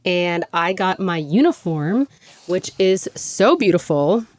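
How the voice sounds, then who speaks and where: A young woman hosts her podcast in a chatty, gushing way, leaning on "like" as she goes. Her voice sits a little low and sounds smooth and clear. It is recorded dry and close on a podcast mic. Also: no room sound. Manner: chatty and gushing, heavy use of "like". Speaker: podcast host. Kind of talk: casual solo podcast chat.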